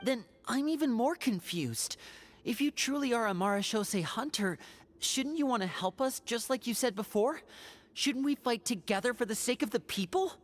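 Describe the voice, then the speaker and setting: A calm, questioning companion. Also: female voice, on the high side, normal speed. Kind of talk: video game voice acting.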